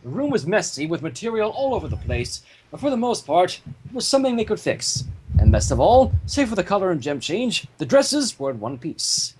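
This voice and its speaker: A man narrating a fan-fiction story aloud in an animated storytelling style. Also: high-pitched, brisk, slight background noise, rough recording.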